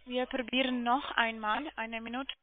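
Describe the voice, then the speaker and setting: A woman with a foreign accent working as a call centre agent, speaking haltingly and repeating things carefully. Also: fairly high pitch, flat delivery, dry recording. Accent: foreign accent in German. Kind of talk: phone conversation. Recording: dry narrow telephone line.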